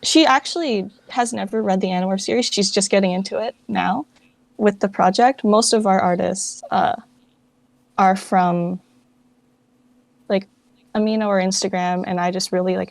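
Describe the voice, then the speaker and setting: A woman's voice: mid-range voice, steady tone, normal speed, gravelly, dry recording. A woman chatting informally as an interview guest, peppering her talk with "like". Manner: informal, filler-heavy with frequent "like". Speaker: guest, fan project director. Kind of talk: casual interview chat.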